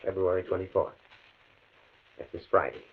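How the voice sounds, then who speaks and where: An American actor playing a brooding, fatalistic professor bent on revenge in an old-time radio drama, speaking gravely and deliberately. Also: male voice, low-pitched, steady tone, phone-quality sound. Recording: thin, band-limited vintage radio recording.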